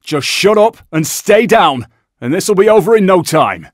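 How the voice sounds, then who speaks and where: An actor playing a brash, foul-mouthed robber in a heist video game, with an English accent and a gruff, urgent delivery. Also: male voice, sits high, big pitch swings, a little slow, dry recording, studio quality, exaggerated voice. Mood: tense, commanding. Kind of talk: shouted video game combat callouts.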